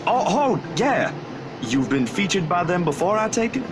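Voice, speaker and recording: A man's voice: high voice, sing-song, moderate pace. An actor playing an awkward, polite male photographer who stammers and hesitates, in visual novel game dialogue. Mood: flustered, modest. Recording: music behind the voice.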